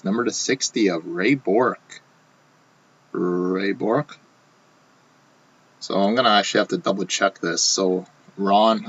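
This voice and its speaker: A man hosting a live card box break, talking casually while he opens packs, his voice going hoarse. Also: low voice, a bit bright, muffled recording, slight background noise. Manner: casual, running commentary while opening packs. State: losing his voice.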